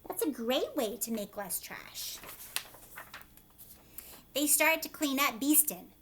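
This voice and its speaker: Female teacher, higher-pitched voice, children's read-aloud with explanatory asides, instructive and inclusive of young listeners. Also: sing-song, slow, fairly bright tone, slight background noise. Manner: instructive, checks in with listeners using simple questions. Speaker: teacher reading a picture book aloud to children.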